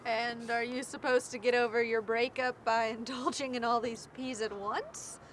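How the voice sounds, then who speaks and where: A woman's voice: fairly high pitch, steady tone, moderate pace, close to an everyday voice. A woman acting as an upbeat, quirky young woman in a naturalistic, conversational comedy, a little hesitant and self-deprecating. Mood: wry. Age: young adult. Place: outdoors in a park. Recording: noisy outdoor ambience, traffic hum.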